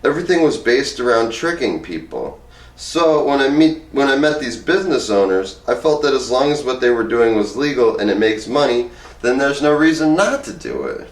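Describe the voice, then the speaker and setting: A man's voice: mid-range voice, animated, moderate pace. A man reading book excerpts aloud in an informal, plain-spoken way. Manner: informal, plain-spoken, reads both sides of interviews in own voice. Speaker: book reader, presenter. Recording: roomy, echoey, distant microphone.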